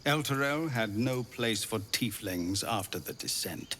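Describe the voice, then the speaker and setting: An actor playing a weary middle-aged leader of refugees, speaking in a grave, pleading way. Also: male voice, fairly low pitch, animated, a little slow, fairly bright tone, slight background noise. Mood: worried. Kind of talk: video game dialogue. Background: game music.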